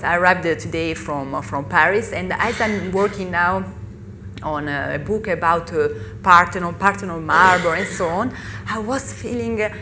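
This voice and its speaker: A woman in her thirties from Italy, with an Italian accent and Italian as her first language, speaking haltingly as a panelist at a live discussion. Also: fairly low pitch, sharp tone. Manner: halting, searching for English words. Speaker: panelist, author. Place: festival venue. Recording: live panel recording with noise.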